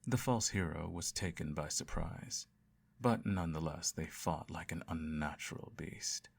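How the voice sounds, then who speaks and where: A man playing a self-righteous evil emperor and doting father, telling a bedtime story in a measured, grandiose way in an audio roleplay. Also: very deep voice, gravelly, slightly rough, heavily acted. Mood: solemn storytelling.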